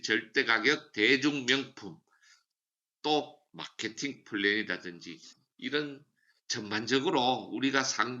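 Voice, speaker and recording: A man's voice: medium pitch, sharp tone, muffled recording. A man giving a motivational sales training lecture, emphatic and repeating key slogans. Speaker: seminar speaker for a sales business.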